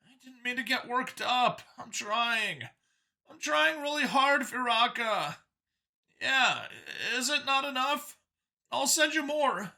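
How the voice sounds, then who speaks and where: A man voicing an anxious alien father who pleads and gushes haltingly in a strained voice, for a story. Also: creaky, sharp tone, heavily acted. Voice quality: high, strained voice. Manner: halting, hesitant, gushing and apologetic by turns.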